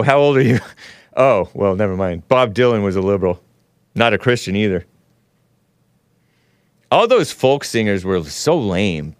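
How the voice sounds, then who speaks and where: Male host, nasal, rambling and chatty; smooth clean voice, dry close sound. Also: on the low side, no room sound. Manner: rambling, laughs often, chats with the live chat. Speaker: show host. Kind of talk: casual opinionated livestream talk.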